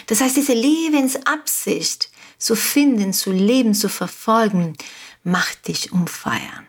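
Woman, Spanish accent, motivational podcast host, unhurried and slightly husky. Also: fairly low pitch. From Uruguay. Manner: slow, repetitive, emphatic, inspirational. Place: home studio on an island.

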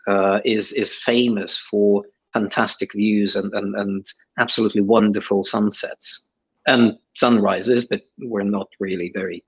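A man's voice: lowish voice, breathy edge, rough recording. A middle-aged man giving interview answers in a hesitant way, with many fillers and restarts. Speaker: guest.

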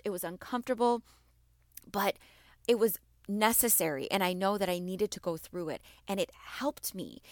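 A woman hosting a motivational podcast for mothers, her voice a little raspy from allergies, encouraging and repeating phrases for emphasis. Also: mid-range voice, no echo, studio quality. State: slightly raspy from allergies and screaming. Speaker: podcast host.

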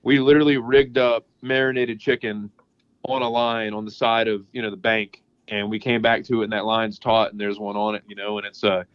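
A mid-pitched man from Louisiana tells easygoing stories as a podcast guest, filling his talk with "you know" and "man." The sound is dry and slightly dull, a bit lo-fi. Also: a little fast, clear, resonant tone, dry recording. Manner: relaxed storyteller, fillers like "you know, man". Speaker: guest, app co-owner. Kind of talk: casual podcast chat.

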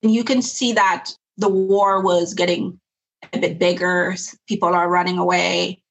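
A woman's voice: lowish voice, little pitch movement, normal speed, gravelly, low-quality recording. A woman from Sudan, a nonprofit founder speaking earnestly and reflectively in an interview, often restarting her sentences. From South Sudan. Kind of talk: interview conversation.

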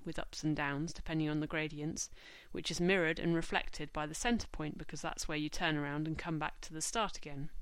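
A woman hosts a scripted podcast, her voice a little stuffed up from a cold. She talks in a measured, informative way and slows right down when she reads out lists. Her voice sits fairly low and is recorded dry and close to the mic. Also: a bit bright, no room sound. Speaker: podcast host.

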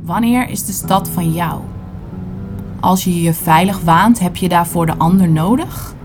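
A woman performing a literary audio play, narrating in short, deliberate dramatic phrases. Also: on the low side, music in the background. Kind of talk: literary spoken-word narration in second person.

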